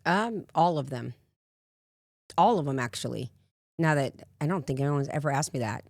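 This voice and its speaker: Raspy low-voiced woman telling stories. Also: lively intonation, moderate pace, a little mellow, no room sound, studio quality. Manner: candid, rambling storyteller with frequent asides. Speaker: life coach, podcast guest. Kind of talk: casual interview storytelling.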